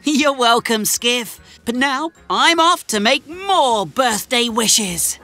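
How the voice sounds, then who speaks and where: A woman voicing a cheerful, eager, helpful young male steam engine in a children's cartoon, earnest, polite and expressive. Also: on the high side, slow pace, faint music behind, full character voice. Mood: delighted. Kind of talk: animated children's cartoon acting.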